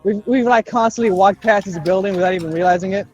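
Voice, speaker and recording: High-voiced young man joking around. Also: quick pace, darkish tone, muffled recording, soft background music. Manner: jokey, profane, acts out bits of dialogue. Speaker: podcast co-host. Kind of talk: casual improvised fan banter.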